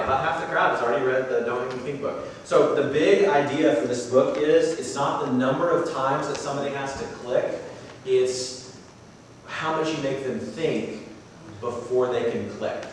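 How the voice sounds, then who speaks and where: Male conference speaker, booming and conversational, mid-pitched, recorded far off in a very echoey room. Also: normal speed, a little hiss or hum. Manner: booming, loud voice; relaxed and conversational, with frequent asides. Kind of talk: presenting a talk with audience questions.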